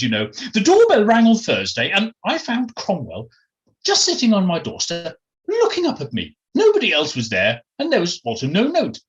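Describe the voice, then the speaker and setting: A woman's voice: medium pitch, lo-fi sound, pitched above the performer's own voice. An author voicing a smug, chatty woman who dotes on her dog, in a theatrical story reading. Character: smug, dog-doting upper-class Englishwoman.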